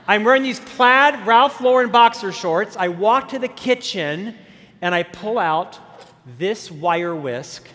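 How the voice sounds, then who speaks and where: A man in his fifties with a stutter and a high voice tells his own story at a conference, animated and self-deprecating. His words ring out with a long hall reverb from a big stage. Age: 50s. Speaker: keynote speaker. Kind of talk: motivational conference talk.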